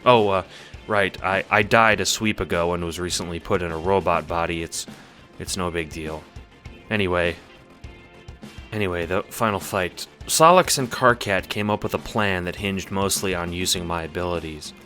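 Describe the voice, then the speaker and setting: A man voicing a calm, matter-of-fact dead troll girl who now lives in a robot body, in an audio drama. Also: sits low, normal speed, faint music behind.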